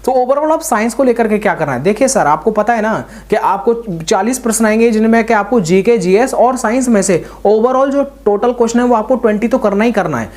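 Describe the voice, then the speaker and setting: A woman's voice: on the high side, animated, brisk, few pauses, a little mellow. A woman giving informal exam coaching, speaking directly to students and repeating points for emphasis. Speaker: exam-prep teacher.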